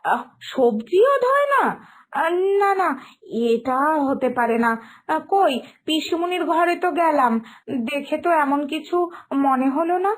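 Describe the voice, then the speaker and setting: A woman's voice: high voice, animated, unhurried, long pauses. A woman voicing a polite, naive young daughter-in-law in a cartoon drama, earnest in manner, with a West Bengal accent. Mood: alarmed.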